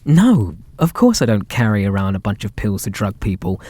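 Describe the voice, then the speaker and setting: An actor with a British accent playing a possessive, lovestruck kidnapper in an ASMR roleplay, sweet and doting in a theatrical, intimate way. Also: male voice, fairly low pitch, big pitch swings, normal speed, warm tone, dry recording. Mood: mock offended. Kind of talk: ASMR roleplay audio drama.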